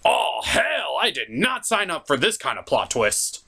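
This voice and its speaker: Theatrical man with lively, swooping delivery. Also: sits high, measured pace, gravelly, breathy edge. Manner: theatrical, emphatic, stretches words for effect. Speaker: video review host. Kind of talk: enthusiastic scripted review commentary with skits.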